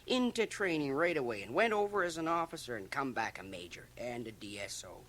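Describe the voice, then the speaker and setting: A man's voice: medium pitch, sing-song, brisk, a bit bright, a little hiss or hum. A man playing a folksy, gossipy small-town barber with a Canadian accent in a radio drama, chuckling and dropping into confidential asides. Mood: chatty, teasing. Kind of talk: radio drama acting, rambling monologue. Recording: 1980 radio drama, home cassette transfer.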